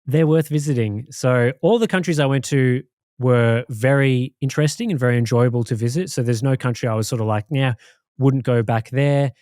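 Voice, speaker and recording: Australian man, podcast co-host, casual rambling chat, mid pitch, dry sound. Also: normal speed, dry recording. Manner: rambling, relaxed storytelling with many fillers.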